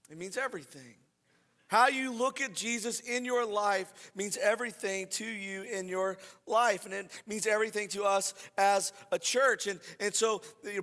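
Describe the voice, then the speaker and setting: A man preaching as a pastor in a conversational, informal way, repeating phrases and checking in with listeners, his voice a little scratchy and hoarse. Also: high-pitched, bright, forceful tone. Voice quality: slightly scratchy. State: slightly hoarse from voice loss. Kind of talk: conversational sermon to a congregation. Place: church hall. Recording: reverberant hall sound.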